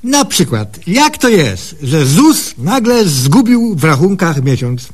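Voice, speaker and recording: A man's voice: fairly high pitch, sing-song, slow, breathy edge, exaggerated voice. A man playing a simple, gullible, eager country patient in a comic radio sketch, with a naive, folksy way of talking. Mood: puzzled, trusting.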